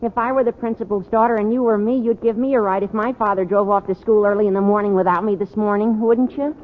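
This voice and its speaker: A man's voice: high-pitched, fairly even intonation, fast, phone-quality sound, background noise, close to an everyday voice. An actor playing an eager, gawky, naively tactless teenage boy with a rambling, earnest manner in a 1940s radio comedy. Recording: thin old radio recording.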